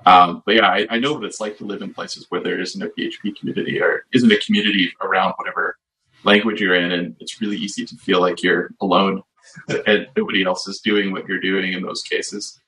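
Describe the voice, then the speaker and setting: Podcast co-host, male, deep but bright timbre, meandering conversational delivery. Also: flat delivery, a little fast, low-quality recording. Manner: rambling, many fillers and restarts. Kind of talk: casual chat.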